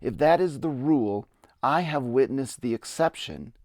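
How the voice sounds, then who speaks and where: A man's voice: medium pitch, animated, normal speed, darkish tone, no room sound. A man giving a reflective, earnest dramatic reading of his own memoir. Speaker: memoirist narrator. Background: music.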